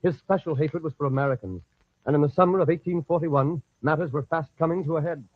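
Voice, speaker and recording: An actor serving as the solemn narrator of an old-time radio western, giving dramatic scripted storytelling. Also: male voice, a little high, quick pace, lightly acted. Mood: grave. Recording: dull old radio recording.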